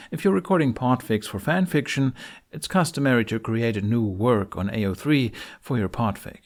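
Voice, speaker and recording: A man presenting a scripted tutorial in an informal way, with wry asides. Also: lowish voice.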